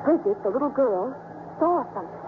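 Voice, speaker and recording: A man's voice: very high voice, expressive, brisk, noisy background. An actor narrating a 1950s radio drama as a man telling a story. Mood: narrating, dramatic. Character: storytelling man recounting a baseball tale. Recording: narrow-band old radio broadcast.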